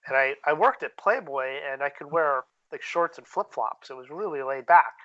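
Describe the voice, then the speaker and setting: A man talking casually as an interview guest, candid and laid-back, with plenty of slang, on low-quality audio. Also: moderate pace, a bit bright. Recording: dull lo-fi call-quality audio.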